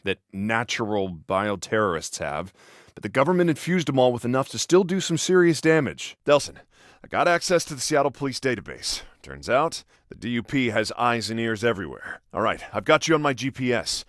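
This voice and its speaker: Dry, exasperated American cop, swinging pitch. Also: male voice, big pitch swings, brisk, fairly bright tone, dry recording. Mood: cautioning, firm. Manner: dry, sarcastic, conversational. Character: exasperated protective adult cop, sarcastic older brother. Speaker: police officer character in a video game. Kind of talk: video game voice acting.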